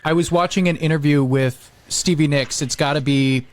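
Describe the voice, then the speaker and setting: A man's voice: a little high, moderate pace. A man chatting casually as a podcast host, telling stories and acting out quotes as he goes.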